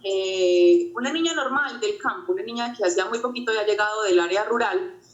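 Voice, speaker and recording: A woman's voice: mid-range voice, brisk. A woman giving an earnest, reflective motivational talk about her own life, often repeating her phrases. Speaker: motivational speaker. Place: home during quarantine. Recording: roomy, dull lo-fi sound.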